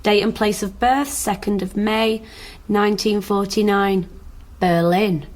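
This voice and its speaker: A woman's voice: mid-range voice, fairly even intonation, measured pace, lightly acted. Sardonic, brusque British woman, played by an actress. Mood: dry, businesslike. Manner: dry, flippant, brusque. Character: sardonic, blunt, pregnant younger sister, an investigator. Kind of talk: naturalistic radio drama dialogue.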